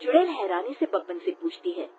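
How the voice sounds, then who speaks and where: A woman's voice: medium pitch, sing-song, brisk, mellow, soft background music. A woman narrating a scripted cartoon story as a storyteller. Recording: dull lo-fi, noisy background.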